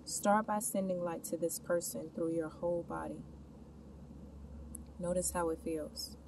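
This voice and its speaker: A woman with a notably low voice narrates a guided meditation. She speaks in scripted, instructional phrases addressed straight to the listener. The mic sounds distant, with clear background noise around her. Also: steady tone, measured pace. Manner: instructional, addressing the listener with gentle imperatives and questions.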